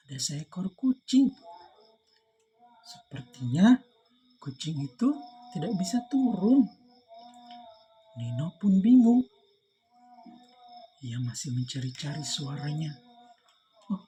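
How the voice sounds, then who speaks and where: Very mellow, animated woman storyteller. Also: low voice, slow pace, long pauses, a little hiss or hum. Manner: expressive, addresses the young listeners with questions. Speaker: storyteller reading a children's book. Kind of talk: read-aloud storytelling for children.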